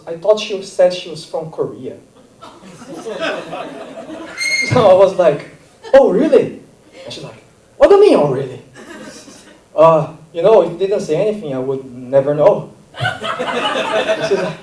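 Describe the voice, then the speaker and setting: A man with a non-native English accent doing a stand-up set, self-deprecating and halting, laughing at his own jokes. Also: on the high side, darkish tone. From Brazil. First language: not English. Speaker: stand-up comedian. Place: comedy theater stage. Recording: roomy live theater, mic at a distance.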